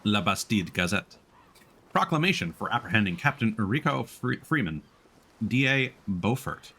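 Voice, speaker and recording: A man with a lowish voice commentates casually on a live game stream. His intonation swings widely and animatedly as he plays. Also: very animated, moderate pace, sharp tone, husky.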